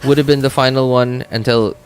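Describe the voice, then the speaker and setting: A man's voice: mid-range voice, steady tone, a little fast, rough recording. A man streaming a game in a casual, rambling chat, thinking aloud and tossing in exclamatory asides. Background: game music and dialogue.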